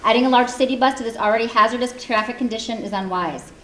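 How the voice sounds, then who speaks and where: A woman, giving a prepared public comment in a loud, earnest voice. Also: a little high. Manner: fast, earnest, reads prepared remarks. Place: community meeting hall. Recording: echoey hall, faint room noise.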